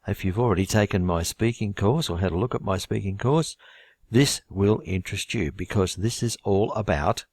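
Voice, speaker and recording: An older Australian man with a husky voice introduces a writing course in a relaxed, conversational way, with self-deprecating humor. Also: fairly low pitch, no echo. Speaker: IELTS writing teacher.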